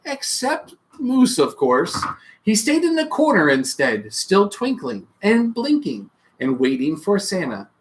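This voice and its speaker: Very animated, playful bedtime story host. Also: medium pitch, unhurried, a little hiss or hum. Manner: playful, expressive. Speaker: host reading bedtime stories. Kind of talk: animated children's storybook reading with casual asides.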